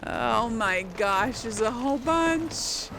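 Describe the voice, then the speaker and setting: A woman's voice: a little high, expressive, slow, noisy background. A woman giving casual game commentary to viewers, exclaiming and muttering her reactions as she plays. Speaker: let's play host. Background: game music.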